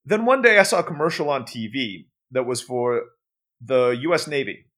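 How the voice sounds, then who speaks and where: A man's voice: medium pitch, very animated, moderate pace, fairly bright tone. A man with an American accent, a native Turkish speaker, chatting as a podcast guest and laughing easily. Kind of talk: casual interview chat.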